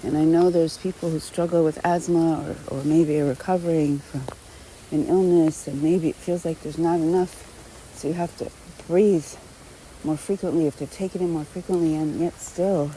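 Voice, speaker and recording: Female meditation guide: deeply mellow, relaxed tone, gentle and unhurried, faint background noise. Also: poor audio. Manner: gentle, unhurried, with long pauses between short phrases. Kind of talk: guided meditation, unscripted spoken instruction.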